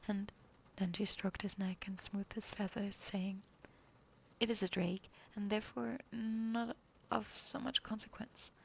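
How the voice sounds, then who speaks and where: A woman reading a fairy tale aloud in a soft whisper, pausing now and then to apologize. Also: medium pitch, steady tone, gravelly, husky. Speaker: reader.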